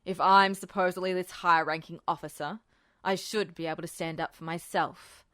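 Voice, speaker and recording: A woman voicing a male military officer, lowish pitch, measured, coaxing, smirking confidence, audiobook dialogue. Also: steady tone, fairly bright tone, natural voice. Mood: determined. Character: smirking, self-assured adult male army colonel. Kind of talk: fan fiction audio reading, character dialogue.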